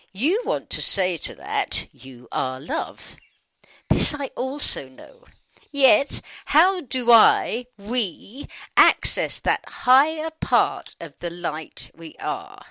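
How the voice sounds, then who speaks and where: A woman reading her side of a scripted dialogue in a conversational, playful way, with emphatic pauses. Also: lowish voice, sharp tone, dry recording. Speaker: channeler reading her own questions.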